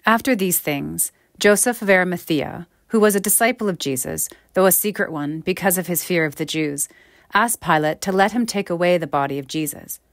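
Female minister, slightly low voice, measured scripture reading, dry recording. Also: no room sound.

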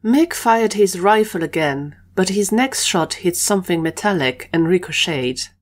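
A woman's voice: lowish voice, expressive, a little slow. A woman reading scripted narration for an audio adventure story.

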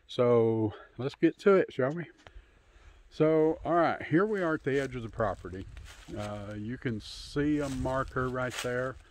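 A man in his fifties giving a casual, unscripted tour outdoors on a handheld phone, pausing often as he talks. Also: mid-range voice, a little mellow. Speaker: homesteader hosting a channel. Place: outdoors in bare winter woods. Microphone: handheld phone at arm's length.

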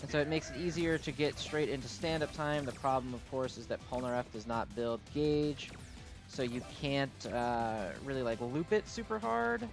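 Music plays clearly behind a man talking in a medium-pitched voice. He gives casual gameplay commentary as the host of a gaming video. His delivery is informal and slangy, with a self-deprecating streak. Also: normal speed.

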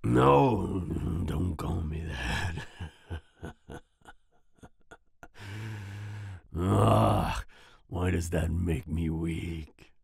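A man playing a volatile but soft-hearted werewolf boyfriend in an ASMR roleplay, growling and raspy, with sighs and groans. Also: low voice, dry recording, studio quality, heavily acted. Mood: softened, flustered. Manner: snarling outbursts, sighs and groans, slow brooding delivery. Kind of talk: ASMR roleplay audio drama.

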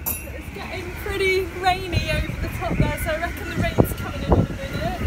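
High-voiced woman in roaring wind. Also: expressive, a little fast, a loud crowd. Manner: cheerful, laughing often, outdoors in strong wind. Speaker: boat dweller vlogger. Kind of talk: casual vlog commentary. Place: outdoors on the deck of a moored boat in a storm. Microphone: phone held at arm's length. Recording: loud wind noise on phone mic. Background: loud wind.

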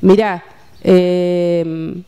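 A woman's voice: fairly low pitch, little pitch movement, extremely slow, dark tone, clean tone. An Argentine woman in her sixties explaining reflectively in a conversational interview, outdoors on a handheld microphone, often adding short tag questions. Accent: Argentine Spanish. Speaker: interview guest, mental health worker. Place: outdoors at a table by a street.

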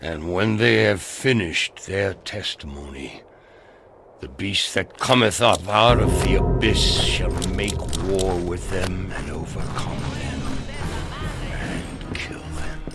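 A man playing a stern, fanatical old gunslinger turned frontier preacher in a video game, solemnly reciting with a gravelly voice. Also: sits low, expressive, slow, heavily acted. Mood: ominous, solemn. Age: older. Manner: solemn, ominous scripture recital. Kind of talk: dramatic video game acting. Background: music.

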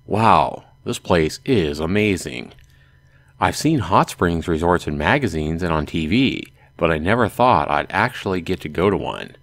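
A man's voice: sits low, animated, unhurried, a bit bright, dry recording, full character voice. A man voicing a wry, exasperated teenage schoolboy, the hero of a visual novel. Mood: musing.

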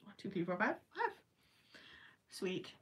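A woman's voice: medium pitch, sing-song, unhurried, a bit bright, far from the mic, slight background noise. A young woman with a Minnesota accent chatting casually while crocheting on a livestream, rambling with joking asides. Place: small indoor craft room with yarn shelves.

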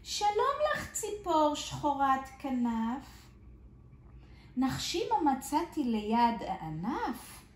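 A woman's voice: sits high, sing-song, reverberant. A woman voicing a small, cheerful, curious male gnome in a storybook, asking friendly, eager questions. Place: indoor living room, seated in armchair.

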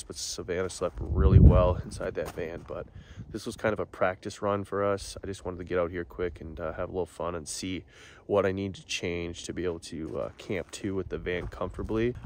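A man's voice: deep voice, a little hiss or hum. A young man filming himself on a handheld camera, chatting casually about camping in a van and a forest. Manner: relaxed, rambling with many "like" fillers. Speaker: camping vlogger. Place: inside a parked van at night, then outdoors in a forest campsite. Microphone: phone or action camera held at arm's length.

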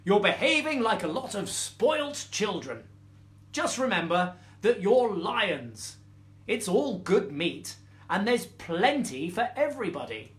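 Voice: medium pitch, sing-song, slow, fairly bright tone, reverberant. A woman voicing a stern, weary mother lioness from a children's storybook, scolding her cubs. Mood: stern, exasperated. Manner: stern, commanding.

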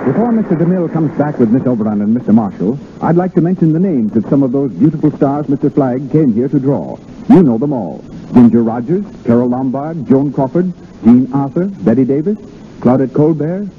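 A man's voice: medium pitch, very animated, a little fast. A man announcing a commercial in a scripted 1930s radio advertising style. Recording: tinny 1930s radio recording with hiss.

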